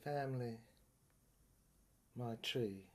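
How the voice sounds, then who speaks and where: A woman performing a dramatic literary monologue in a theatrical, intense and halting way, in broken phrases. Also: a bass voice. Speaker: performer reading a serial screenplay.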